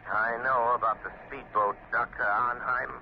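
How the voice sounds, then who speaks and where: An actor playing an ominous, commanding crime-fighting avenger in a 1930s radio drama, grave and taunting. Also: male voice, mid-range voice, steady tone, normal speed, natural voice. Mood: warning. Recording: muffled, hissy 1930s radio broadcast.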